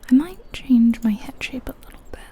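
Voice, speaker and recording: A woman whispering softly and breathily in an ASMR style, gently musing aloud as she comments on a video game. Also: sits high, lively intonation, moderate pace, a little hiss or hum.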